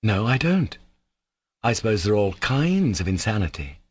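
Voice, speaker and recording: Man reading as a gentle, earnest, pleading young man. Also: fairly low pitch, big pitch swings, moderate pace, breathy edge, no room sound. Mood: timid. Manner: earnest, tender, pleading, hesitant. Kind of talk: dramatised audiobook reading of a classic novel.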